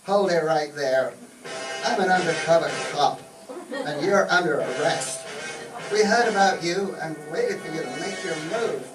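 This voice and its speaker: A tough, proud little undercover cop, acted by a man in a radio comedy, with a fairly high voice. The recording is echoey and distant, with music behind. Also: lively intonation, measured pace. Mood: triumphant. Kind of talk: comic radio skit acting.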